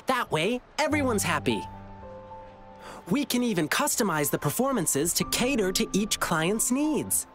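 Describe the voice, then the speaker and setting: A man's voice: high voice, very animated, a little slow, a bit bright, soft background music, full character voice. An actor voicing a cheerful, eager young lion-eared boy performer in a video game, friendly and bubbly. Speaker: lion dance troupe performer.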